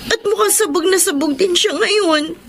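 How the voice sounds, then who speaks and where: A woman's voice: very high-pitched, normal speed, a bit bright. A female actor playing a distraught young woman who is crying in a radio drama. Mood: tearful.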